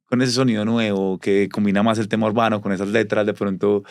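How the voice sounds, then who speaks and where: Male interview host, quick pace, dry studio-close sound, casual and winding in his questions. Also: fairly low pitch, little pitch movement, no echo.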